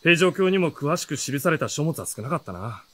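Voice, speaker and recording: A man playing an easygoing, teasing young traveler in a Japanese historical fantasy radio drama, with a relaxed, bantering manner. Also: a little high, fairly quick. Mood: curious, friendly. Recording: music under the voice.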